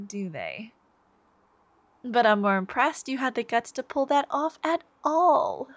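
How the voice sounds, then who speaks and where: A woman's voice: medium pitch, no echo. A woman playing a smitten, sweetly menacing young supervillain stalker in an intimate ASMR roleplay, coy and theatrical, sometimes murmuring. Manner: coy, unhurried, theatrical, lapsing into breathy murmurs.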